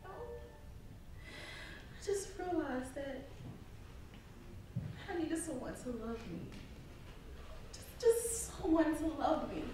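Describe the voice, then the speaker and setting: A woman's voice: high voice, lively intonation, takes it slowly, long pauses. A young actress on stage playing a wounded woman who defends her abusive boyfriend, recorded from far away. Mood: pained, haunted. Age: young adult. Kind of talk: stage play acting. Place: indoor theatre stage. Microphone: far camera. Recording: distant echoey stage recording, noisy.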